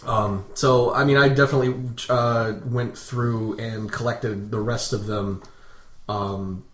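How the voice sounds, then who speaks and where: A man co-hosting a podcast, chatting casually and haltingly about video games, with some swearing. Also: mid-range voice, fairly even intonation. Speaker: podcast co-host. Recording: roomy echo, faint background noise.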